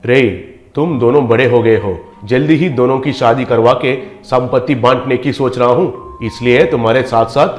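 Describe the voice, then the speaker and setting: A man's voice: medium pitch, moderate pace, roomy sound, soft background music, natural voice. A man playing a stern, wealthy middle-aged father who scolds with authority in a moral story. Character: stern, wealthy village father lecturing grown sons. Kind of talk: animated moral story dialogue.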